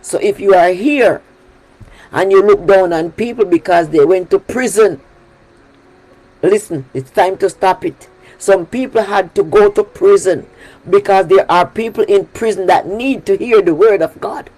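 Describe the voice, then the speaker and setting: A woman's voice: medium pitch, measured pace, warm tone, rough recording. A woman from Jamaica with a Jamaican accent, preaching a sermon on her prayer broadcast in an emphatic style that repeats key phrases. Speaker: pastor leading a prayer broadcast.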